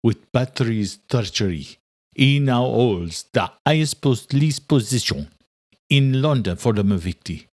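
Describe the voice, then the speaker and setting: A man's voice: medium pitch, expressive, unhurried, a little mellow, no echo, clean studio sound. Man playing vampire duke, angry, blunt, accusing, formal speech, audiobook thriller. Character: angry male vampire duke challenging his king.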